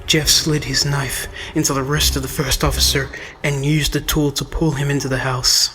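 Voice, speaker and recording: A man narrating a scripted horror story in a measured, dramatic storytelling manner. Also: medium pitch, flat delivery. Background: loud music.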